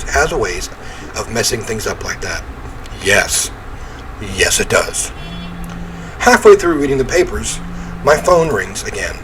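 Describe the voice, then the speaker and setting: A man's voice: mid-range voice, slight background noise, poor audio. A man reading a visual novel aloud as a game streamer, mixing dramatic narration with wry casual asides. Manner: throat-clearing, wry asides.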